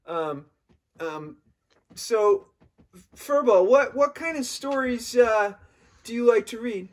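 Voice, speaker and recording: A man's voice: very high-pitched, expressive, takes it slowly. A man in his fifties hosting a story time, talking and reading aloud playfully for children. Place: small bedroom, indoors.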